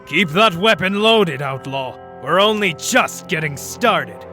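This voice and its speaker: A man's voice: on the high side, lively intonation, takes it slowly, pushed and bright, soft background music, heavily acted. A man voicing a hearty, boastful Viking dwarf smith, cheerful and battle-hardened, in a fantasy fan dub. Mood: hearty banter.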